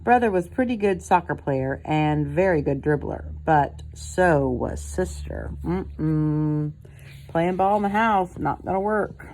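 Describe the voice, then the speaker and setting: An older woman with a low voice reads a picture book aloud at bedtime. She is expressive and breaks off now and then to chat casually to the listening child, as a grandmother would. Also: slow pace, slight background noise, rough recording. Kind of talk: bedtime story reading with casual asides to a child.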